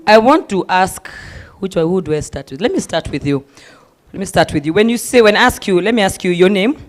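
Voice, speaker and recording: A man with a Kenyan accent moderating a university town hall forum, animated and mixing in Swahili phrases. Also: high-pitched, fairly quick. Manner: animated, mixes Swahili phrases, repeats himself.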